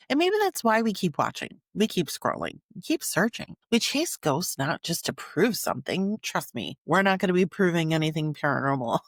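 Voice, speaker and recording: Expressive woman hosting a podcast. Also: lowish voice, dry recording, clean studio sound. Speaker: podcast host. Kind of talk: scripted podcast narration.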